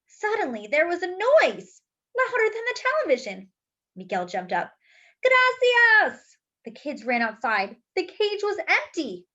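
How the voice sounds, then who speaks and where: Lady doing kids read-aloud, high lilting voice, careful teacher style, bit lo-fi. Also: sing-song, normal speed, fairly bright tone. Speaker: storyteller reading aloud to children. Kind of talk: expressive children's picture book read-aloud.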